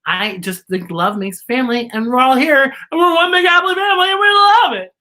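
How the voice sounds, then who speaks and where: A woman's voice: high voice, a little fast, bright, forceful tone. A woman hosting a children's story time in a playful, theatrical, sing-song manner. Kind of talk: children's read-aloud show presenting.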